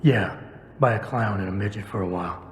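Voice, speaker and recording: Game hero, male actor: terse undercover detective, dry and deadpan, lowish pitch, roomy echo around the voice. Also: a little fast, lightly acted. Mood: dry, skeptical. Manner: deadpan, clipped. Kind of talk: video game cutscene dialogue acting. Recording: echoey with faint background noise.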